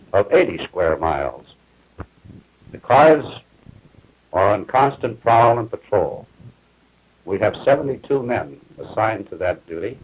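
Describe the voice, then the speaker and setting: A man speaking as a police major, giving a formal explanatory briefing in deliberate official phrasing on 1950s film. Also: on the low side, husky. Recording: muffled 1950s film soundtrack.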